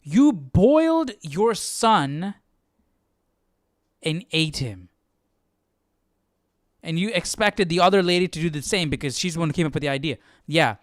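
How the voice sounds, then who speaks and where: A man's voice: on the high side, lively intonation, a little slow, pauses often, no echo, studio quality. A man hosting a live Bible study, reacting casually and incredulously, often repeating himself.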